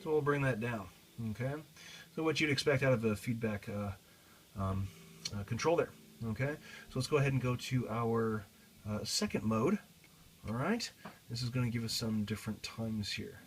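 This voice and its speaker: A man giving a casual, explanatory demonstration of a guitar effects pedal in a halting way, as a teacher would. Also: medium pitch, animated, slight background noise. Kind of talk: casual product demo.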